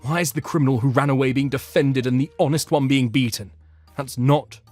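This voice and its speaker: A man's voice: mid-range voice, brisk, a little mellow, faint music behind, natural voice. A man playing an earnest, bereaved son with strong convictions in a comic dub. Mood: indignant. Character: earnest bereaved son, grateful and righteously indignant.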